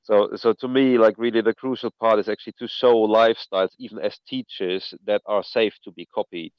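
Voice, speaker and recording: A man, a survival instructor joining an online seminar, speaking haltingly and repeating words. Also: lowish voice, steady tone, clean tone, dry recording, dull audio. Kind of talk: casual discussion.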